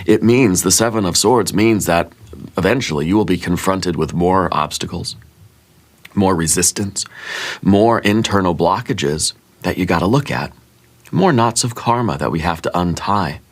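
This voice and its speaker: A man giving a soft-spoken ASMR tarot reading in a musing, gently reassuring way, a little congested with a sore throat. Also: sits low, normal speed. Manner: musing, gently reassuring, thinks aloud with fillers. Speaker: tarot reader.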